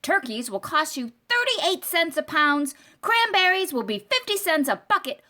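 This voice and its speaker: A woman's voice: high voice, sing-song, normal speed, full character voice. A woman playing a scatterbrained, cheerfully confident presidential candidate in old-time radio comedy, with an earnest, ditzy delivery. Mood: brightly self-assured. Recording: live stage with loud audience noise.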